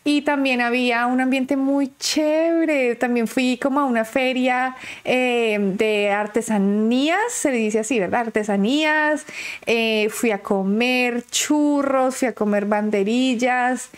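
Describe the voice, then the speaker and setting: A woman's voice: fairly high pitch, slow pace, smooth. A woman from Colombia hosting a reaction video, talking in chatty, exclamatory asides into a webcam at home. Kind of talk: excited reaction commentary. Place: home room.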